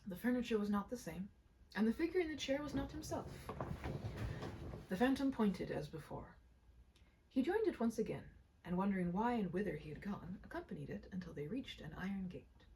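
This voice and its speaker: A woman reading a story aloud with an expressive, theatrical and emphatic delivery. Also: mid-range voice, fairly quick, slight background noise, rough recording. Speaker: reader.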